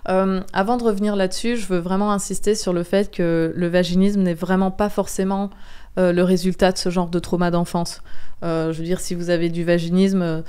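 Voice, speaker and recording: Smooth-voiced woman, earnest podcast guest. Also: on the low side, level tone, brisk, dark tone. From Belgium. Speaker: interview guest, comic book author. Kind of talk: candid podcast interview testimony.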